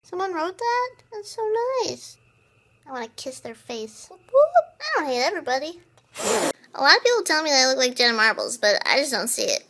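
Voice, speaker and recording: Woman with a very high, childlike voice. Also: sing-song, pushed and bright. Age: 20s. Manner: playful, bubbly, quick jokes, swings into squeals. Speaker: comedy video creator. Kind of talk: short comedy sketches. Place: at home, in cars and outdoors. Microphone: phone camera at arm's length.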